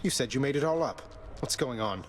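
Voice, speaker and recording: A man's voice: medium pitch, expressive, fairly quick, background noise, natural voice. A man playing a young rebellious underworld prince in a video game, wry, polite and kindly. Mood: wry, earnest. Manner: polite, dry, casual. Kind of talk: video game character dialogue.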